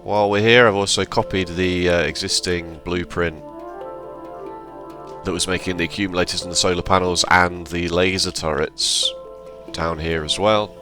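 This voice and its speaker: A man giving relaxed, rambling commentary over his gameplay, sounding slightly hoarse and correcting himself as he goes. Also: deep voice, moderate pace, music in the background. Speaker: let's play host.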